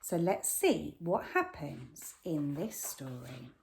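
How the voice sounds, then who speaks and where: A woman's voice: low-pitched, slow, creaky, a little hiss or hum. A woman teacher reading a picture book aloud to young children, gasping and asking them questions as she goes. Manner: animated, gasps and asks the children questions. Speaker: primary school teacher.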